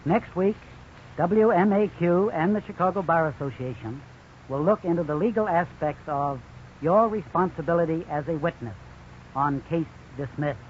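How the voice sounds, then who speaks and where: A man's voice: fairly high pitch, normal speed. A man delivering formal, explanatory scripted legal commentary as a radio counselor. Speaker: legal counselor, law school dean. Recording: muffled, hissy 1950s radio recording.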